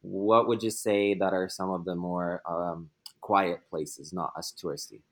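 A man's voice: low-pitched, fairly even intonation, a little slow, fairly bright tone. A young man hosting a casual podcast interview, asking loose questions with fillers. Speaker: podcast host.

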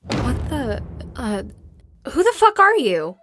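A woman's voice: sits high, sing-song, slow pace, noisy background, heavily acted. A woman playing a tough, determined, commanding survivor in an audio drama. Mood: tense, defiant.

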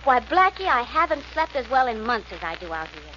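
High-voiced, animated young captive woman. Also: a little fast. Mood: feigned cheer. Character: captive young woman feigning breezy contentment. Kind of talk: 1940s radio acting. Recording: muffled, noisy old radio recording.

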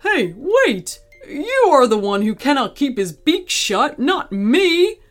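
Grumpy loner gorilla for a children's story, acted by a woman: huffy, dismissive, drawn-out words with big pitch swings. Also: lively intonation, darkish tone, soft background music. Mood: protesting. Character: grumpy, rude, impatient male gorilla loner.

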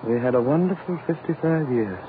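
An actor playing a grave, weary older scientist, measured and somber, in 1940s radio drama style. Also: male voice, medium pitch, animated, natural voice. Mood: weary, bitter. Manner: measured, somber, matter-of-fact. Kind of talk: 1940s radio horror acting. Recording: scratchy, narrow-band 1940s radio recording.

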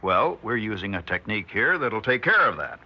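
An actor playing a calm, affable senior male scientist in a 1960s radio drama, measured and explanatory. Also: fairly low pitch, slightly rough, full character voice. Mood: calm, businesslike. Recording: muffled 1960s radio recording.

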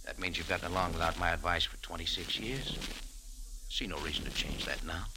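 An actor playing a tough, wry American bar owner in a clipped, sardonic 1940s radio drama style. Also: male voice, deep voice, fairly quick, heavy background noise. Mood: firm, sardonic. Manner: clipped, hard-boiled, sardonic. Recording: vintage radio recording with music.

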